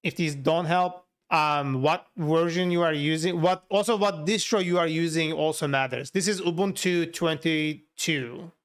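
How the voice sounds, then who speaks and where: Clear, higher-pitched man with a Turkish accent. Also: measured pace, hardly any pauses. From Turkey. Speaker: livestreamer, software developer. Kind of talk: casual livestream chat, answering viewers while working.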